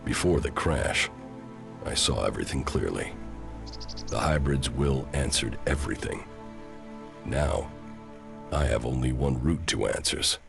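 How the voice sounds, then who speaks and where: An actor playing a stoic, brooding exiled sci-fi commander with a gravelly voice and a terse, solemn manner in a video game. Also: male voice, rumbling low, a little slow, long pauses, music in the background, exaggerated voice. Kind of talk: video game cutscene acting.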